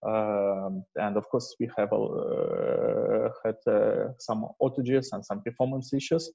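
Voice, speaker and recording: Male meetup presenter, mellow and husky, hesitant informal delivery, lo-fi call audio. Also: lowish voice, fairly even intonation, takes it slowly. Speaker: presenter at an online developer meetup. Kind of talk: informal technical presentation with slides. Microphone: video call microphone. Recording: dull, muffled lo-fi online call.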